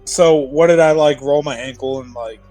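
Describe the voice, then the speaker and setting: A man's voice: fairly high pitch, moderate pace, music in the background. A man chatting casually and informally as a player in a tabletop role-playing game, prone to swearing.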